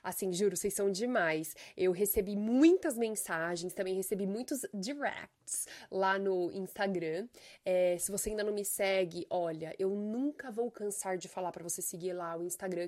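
A Brazilian woman hosting her own podcast, chatting casually and expressively with a nasal, congested voice from a sinus infection. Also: mid-range voice. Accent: Brazilian Portuguese. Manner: chatty, expressive, full of fillers. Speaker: podcast host and life coach. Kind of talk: casual solo podcast monologue.

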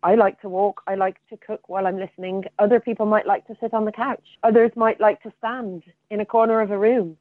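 A woman's voice: medium pitch, fairly even intonation, fairly quick, hardly any pauses, no echo. A woman speaking conversationally as an interview guest over a phone line. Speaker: interview guest, theatre artistic director. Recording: tinny narrow phone line, dry.

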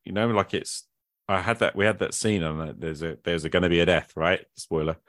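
A man's voice: low voice, steady tone, a little fast, fairly bright tone, no echo, studio quality. A man speaking as a podcast host in casual, reflective conversation, thinking aloud and often qualifying himself.

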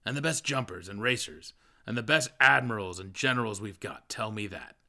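Man reading as a gruff, grizzled old sailor. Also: lowish voice, expressive, normal speed, pushed and bright, full character voice. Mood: irate, proud. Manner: blunt, irate.

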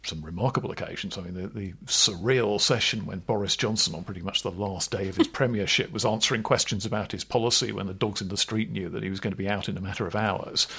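A man's voice: on the low side, fast, vocal fry, few pauses, pushed and bright, breathy edge. A middle-aged man co-hosting a political podcast, chatting in a wry, discursive way. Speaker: podcast co-host. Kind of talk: casual political chat.

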